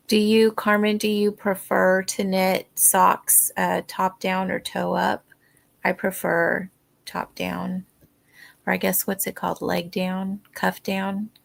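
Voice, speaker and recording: A woman's voice: medium pitch, gravelly. A woman hosting a livestream, chatting casually. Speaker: livestream host of crafting sprints. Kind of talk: casual chat.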